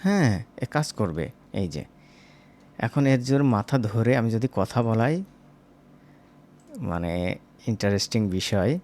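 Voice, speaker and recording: A man teaches software in a casual, informal way, speaking directly to viewers as a tutor. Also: on the low side, very animated, slow, long pauses, slight background noise. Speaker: animation tutor.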